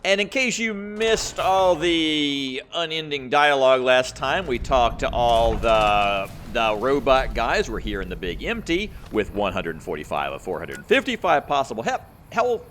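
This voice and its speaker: A man's voice: medium pitch, big pitch swings, measured pace, hardly any pauses, a bit bright, a little hiss or hum. A man giving casual gameplay commentary as a channel host, rambling and thinking aloud.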